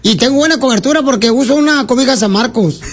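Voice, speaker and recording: A man's voice: very high voice, fast talker. A man voicing a lonely, silly male caller who rambles, teases and flirts on a radio prank call. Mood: teasing. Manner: rambling, teasing, crude jokes.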